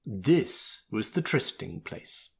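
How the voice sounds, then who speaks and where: A man's voice: fairly low pitch, very animated, slow pace, gravelly, slightly rough. A man narrating a story expressively, with a theatrical, mock-melodramatic delivery.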